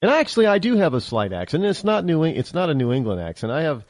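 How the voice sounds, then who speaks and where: Rambling radio host, upstate New York accent. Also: male voice, on the high side, very animated, quick pace, no echo, clean studio sound. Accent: central upstate New York. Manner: rambling, digressive, many restarts. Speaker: radio talk show host. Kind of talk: casual call-in radio talk.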